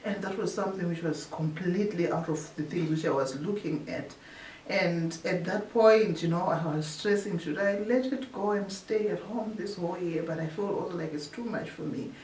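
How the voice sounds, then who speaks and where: Woman, halting, echoey distant mic. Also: on the low side, darkish tone. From Zimbabwe. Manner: halting, often restarts phrases. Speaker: researcher telling her story. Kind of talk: casual interview storytelling. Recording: echoey room, distant mic, faint hiss.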